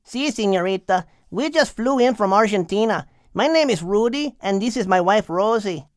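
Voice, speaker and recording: Man voicing a high, tiny male hummingbird. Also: animated, moderate pace, dry recording, clean studio sound. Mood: polite, cheerful.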